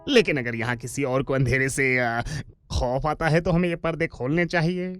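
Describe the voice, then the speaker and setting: A woman voicing a timid, sceptical young male sidekick in an animated comedy, hesitant, anxious and sarcastic. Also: rumbling low, normal speed, soft background music. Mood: nervous, defensive. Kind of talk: animated comedy dub acting.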